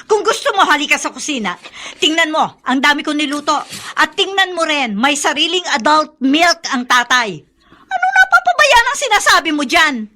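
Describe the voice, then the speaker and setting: A woman's voice: high-pitched, big pitch swings, moderate pace, sharp tone, slight background noise, heavily acted. A woman playing a harried but dutiful middle-aged Filipina daughter, protesting and explaining in a radio drama style. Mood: defensive, indignant.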